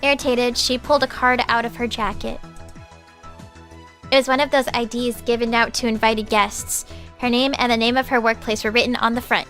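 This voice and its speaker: Bright-voiced woman reading a visual novel aloud. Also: fairly high pitch, moderate pace. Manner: reads narration, breaks off into loud excited reactions. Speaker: vtuber streamer. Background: game background music.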